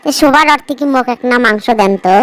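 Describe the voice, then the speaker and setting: Woman doing a high clear young village girl for a cartoon, plaintive stepdaughter, northern bangladeshi accent. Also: fast talker, a bit bright, lightly acted. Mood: hurt, complaining. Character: put-upon young village stepdaughter, dutiful but plaintive. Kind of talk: amateur cartoon story voice acting.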